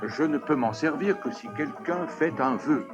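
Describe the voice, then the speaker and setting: An actor voicing a polite, old-fashioned genie who is centuries old, in a dubbed cartoon. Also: male voice, on the high side, expressive, normal speed, music in the background, natural voice. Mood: fussy. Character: polite ancient male lamp genie. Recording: dull lo-fi VHS tape audio.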